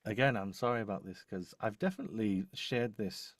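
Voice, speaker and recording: A man with a fairly low voice talks casually on a video call. He speaks haltingly and often mutters to himself, like someone thinking aloud at his computer. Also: sing-song, dry recording, studio quality. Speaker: co-host and audio producer. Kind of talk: casual live troubleshooting chat. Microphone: microphone on a video call.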